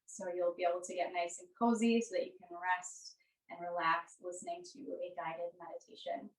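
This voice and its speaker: A woman teaching a yoga class, giving gentle, unhurried guided instructions. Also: fairly low pitch, little pitch movement. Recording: echoey room, distant microphone.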